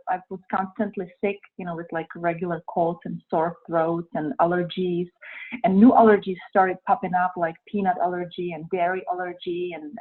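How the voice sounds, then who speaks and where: A young woman with a Czech accent answering interview questions, hesitant and thoughtful, with many ums and uhs. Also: lowish voice. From Czech Republic. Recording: tinny phone-like sound.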